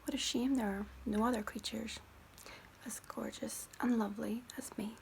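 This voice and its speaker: A woman's voice: fairly high pitch, fairly even intonation, normal speed, vocal fry, a little hiss or hum, poor audio. A woman voicing a vain, self-adoring angelfish in a whispered storybook reading.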